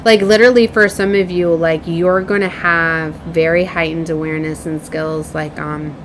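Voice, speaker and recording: A woman's voice: deep voice, steady tone, moderate pace. A woman giving an informal, effusive spiritual card reading, drawing out key words for emphasis. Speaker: tarot and oracle card reader. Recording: clearly noisy background.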